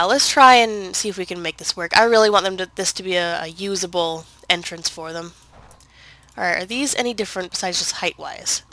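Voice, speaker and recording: A woman's voice: lowish voice, pushed and bright. A woman with a head cold, sounding congested, chatting casually through gameplay with lots of fillers and self-corrections. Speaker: gaming video creator.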